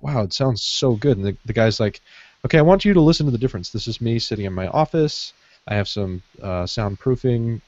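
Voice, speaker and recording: Male podcast co-host, lowish voice, casual anecdotal storyteller, slightly boxy lo-fi audio with room echo. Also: a little mellow. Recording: dull lo-fi audio, roomy echo.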